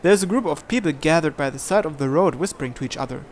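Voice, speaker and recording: A person reading a visual novel aloud as a gamer, narration and dialogue alike, with game music behind. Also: female voice, sits low, expressive, quick pace, a little hiss or hum.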